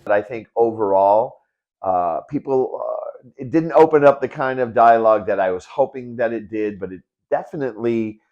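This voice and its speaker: A man's voice: mid-range voice, moderate pace, muffled recording. A man in his early fifties sharing personal reflections as the creator and narrator of a video. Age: early 50s. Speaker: video creator and participant, sociology teacher.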